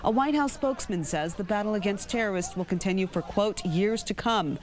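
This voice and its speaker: A woman's voice: medium pitch, brisk, darkish tone, slight background noise. American woman anchoring the news. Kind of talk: scripted news narration.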